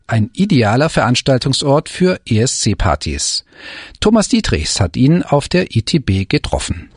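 A man presenting a radio feature with scripted, lively narration. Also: mid-range voice, a little slow, slightly rough, no room sound.

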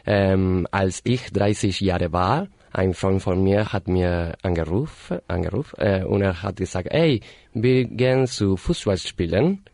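Man in his thirties, deep and unhurried, hesitant German, casual interview guest. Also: darkish tone, no room sound. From Argentina. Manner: halting non-native German, many fillers and self-corrections. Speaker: guest, party organizer.

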